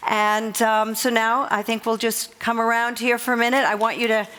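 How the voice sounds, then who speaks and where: High-voiced woman encouraging a group. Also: flat delivery, moderate pace, fairly bright tone. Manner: encouraging, instructive. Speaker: competition official addressing finalists. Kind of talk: spoken address to a group, informal. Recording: live hall with crowd noise.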